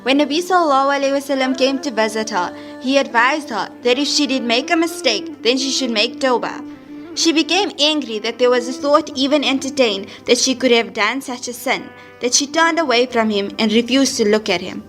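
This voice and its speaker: High-voiced eager madrasa schoolgirl. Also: a little fast, fairly bright tone, soft background music. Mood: eager, earnest. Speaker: student. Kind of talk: scripted audio drama, reading aloud.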